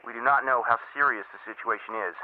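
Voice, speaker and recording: A crackly, narrow radio link carries a military operator. He talks fast, in clipped, formal procedure, as in a radio play. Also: medium pitch. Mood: brisk, businesslike. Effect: two-way radio filter. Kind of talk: audio drama radio exchange. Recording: tinny, noisy two-way radio link.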